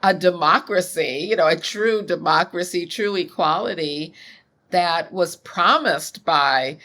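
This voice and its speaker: A woman in her sixties, a scholar being interviewed, speaking in a measured, thoughtful way. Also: on the low side. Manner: measured, thoughtful, occasional soft laughs. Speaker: guest scholar. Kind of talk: interview conversation.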